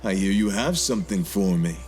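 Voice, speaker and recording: Casting: male actor as taunting slum gang leader for a fan-dub drama, lowish voice, sardonic, very animated, music beneath. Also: a little fast. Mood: smug. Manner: sardonic, mock-polite.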